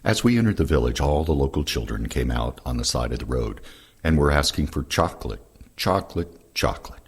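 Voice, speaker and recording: Male narrator, very raspy and deep, reading memoir passages. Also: lively intonation, a little fast. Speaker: reader of veterans' recollections. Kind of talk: reading oral history aloud, scripted narration.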